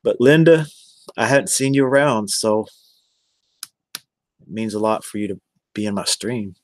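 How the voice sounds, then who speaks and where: A man's voice: fairly low pitch, measured pace, no room sound. An American man in his forties chatting casually on a livestream, rambling and addressing viewers one by one. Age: 40s. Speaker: live streamer.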